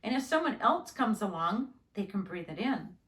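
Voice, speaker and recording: A woman voicing a calm, reassuring father who patiently explains things to his child in a storybook. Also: medium pitch, moderate pace, close to an everyday voice. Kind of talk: children's storybook read-aloud. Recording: roomy, echoing indoor sound.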